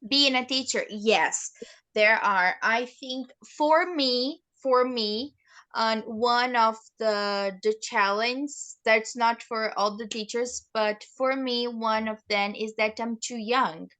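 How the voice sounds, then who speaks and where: A woman's voice: a little high, takes it slowly, bright, forceful tone. A nineteen-year-old woman from Brazil with a Brazilian Portuguese accent, a teacher answering interview questions thoughtfully with frequent restarts. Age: teens.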